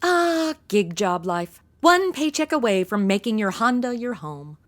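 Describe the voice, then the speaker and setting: A woman's voice: fairly high pitch, expressive, unhurried. A woman playing a brash, snarky young modern sidekick in a comedy audio drama, slangy and sarcastic. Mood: sarcastic, quippy.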